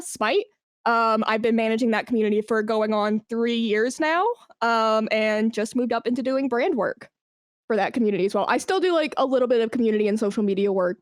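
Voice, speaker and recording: A woman's voice: a little high, dry recording, studio quality. A woman speaking as a podcast guest in a casual interview, telling things candidly and informally. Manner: informal, candid storytelling peppered with "like". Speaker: podcast guest, esports brand manager.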